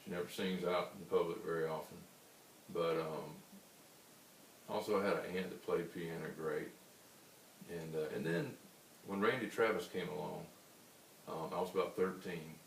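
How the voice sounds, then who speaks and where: A man with a baritone voice, a singer-songwriter answering interview questions in an unhurried, rambling way. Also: steady tone, gravelly. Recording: roomy, distant mic with faint hiss.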